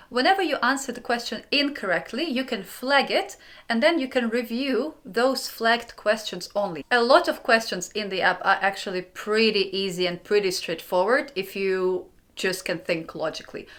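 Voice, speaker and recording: A young woman from Ukraine, hosting her own channel, gives friendly step-by-step advice in a clear, upbeat way. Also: mid-range voice, fairly bright tone. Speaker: channel host.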